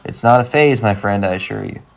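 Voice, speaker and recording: Man acting a deadpan, low-pitched young cartoon guy. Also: a little fast, vocal fry, faint music behind. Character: wry, plain-spoken young man, skeptical of his cousin. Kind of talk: animated comedy-drama dialogue.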